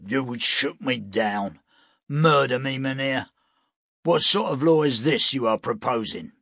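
A man's voice: mid-range voice, a little slow, vocal fry, fairly bright tone, no echo, studio quality, voice pushed up. Weber, a blustering gambler, as a man puts him on. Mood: defiant. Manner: blustering, mocking. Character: loud defiant gambling-house owner, man.

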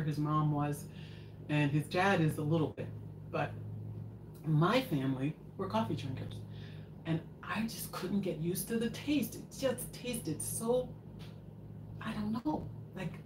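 An older woman hosting a live home cooking show, chatting and rambling as she answers viewer questions. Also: sits low. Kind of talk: casual live chat while cooking. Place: home kitchen, indoors. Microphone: far camera in front of counter. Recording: echoey kitchen, noisy, mic at a distance.

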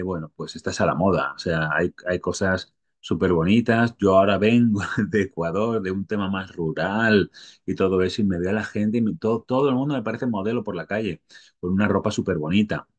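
A man's voice: deep voice, moderate pace. A middle-aged man from Spain with a Spanish accent, talking casually to his webcam and thinking aloud as he goes. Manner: rambling, thinking aloud, tag questions. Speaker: stock photographer vlogger. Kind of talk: casual talk to camera.

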